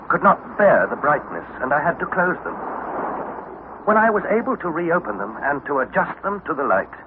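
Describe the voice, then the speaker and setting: An actor playing an eager young English nephew, narrating and speaking dramatically in the style of 1960s radio adventure. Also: male voice, fairly high pitch. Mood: awed. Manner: dramatic, articulate, excitable. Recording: tinny, noisy vintage radio recording.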